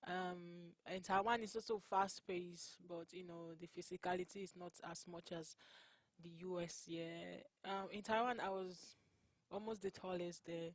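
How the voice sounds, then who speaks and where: A young woman from Nigeria with a Nigerian accent, whose first language is Igbo, answering interview questions in an earnest, unhurried way and laughing often. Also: on the low side, steady tone, no echo. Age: young adult. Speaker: college basketball player.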